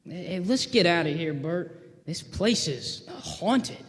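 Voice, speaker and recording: A man's voice: on the high side, expressive, slow. A man in a live radio play acting a suspicious, slangy, brusque working-class cab driver. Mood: irritated, spooked. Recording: live hall, long reverb.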